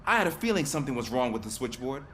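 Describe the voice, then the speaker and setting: Earnest, lively young-sounding cop. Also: male voice, medium pitch, racing, slight background noise. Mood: earnest, helpful. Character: earnest, picked-on male police dispatcher. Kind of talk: video game voice acting.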